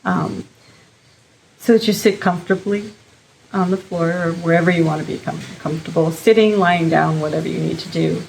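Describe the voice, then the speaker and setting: Gently guiding woman with a creaky, lowish voice. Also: fairly even intonation, slight background noise, rough recording. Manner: gentle, unhurried, pausing between short phrases. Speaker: guided meditation leader. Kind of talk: guided meditation, informal spoken instruction.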